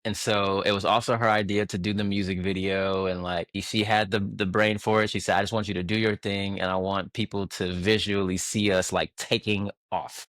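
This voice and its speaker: Very low-voiced man, laid-back guest. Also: normal speed, few pauses, dry recording. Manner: relaxed, laughs often, many fillers and restarts. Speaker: guest music producer. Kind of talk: casual interview chat.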